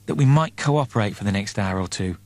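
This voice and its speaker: A man's voice: on the low side, expressive, a little fast, no room sound. A man voicing an anxious, sarcastic, exasperated man in a space comedy audiobook, with emphatic, wordy delivery. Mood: pleading.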